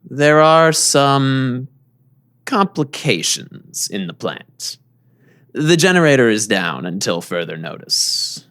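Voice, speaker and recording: A secretive power plant boss, acted by a man in a radio play, with a creaky, gravelly voice. He is polite, formal and guarded, and his pitch moves a lot over a medium base. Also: sing-song, takes it slowly, a bit bright, heavily acted. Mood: grave, hesitant. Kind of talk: audio drama acting.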